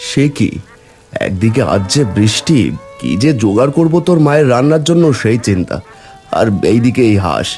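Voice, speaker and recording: Man voicing a kindly, expressive poor fisherman father. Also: medium pitch, moderate pace, faint music behind, lightly acted. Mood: worried. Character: poor, kindly village fisherman father, weary yet gentle. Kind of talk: animated fairy-tale cartoon dialogue.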